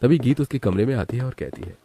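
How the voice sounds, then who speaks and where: A man's voice: medium pitch, quick pace, warm tone, husky. A man reading scripted narration for a story.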